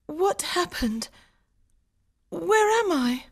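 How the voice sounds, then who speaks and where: A woman acting out an anxious young woman in a dramatised audiobook. Her voice is extremely high and she speaks slowly, with a pleading, emotional edge. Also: expressive, exaggerated voice. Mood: weak, anxious. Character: frightened, anxious young mother-to-be in danger.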